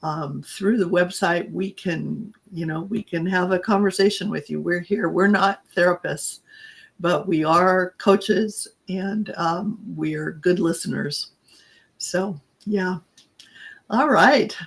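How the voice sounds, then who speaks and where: A woman co-hosting a podcast, her voice scratchy and gravelly with a husky, breathy edge. She talks in a halting, reflective way, trailing off and restarting as she tells her own story. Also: lowish voice. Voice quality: scratchy, raspy voice. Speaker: podcast co-host and coach. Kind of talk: personal storytelling in casual chat.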